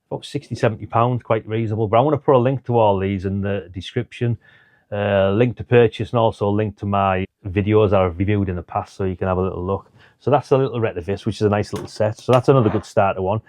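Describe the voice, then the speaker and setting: A man's voice: fairly low pitch, a little mellow, no echo. A middle-aged British man giving a casual, chatty product rundown, thinking aloud in an informal way. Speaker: hobbyist product reviewer.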